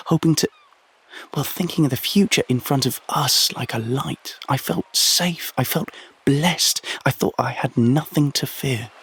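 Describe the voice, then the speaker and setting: An actor playing a wistful, hopeful young man in an audio drama monologue, reflective, with a gravelly, husky voice. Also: mid-range voice, fairly bright tone, full character voice. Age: young adult.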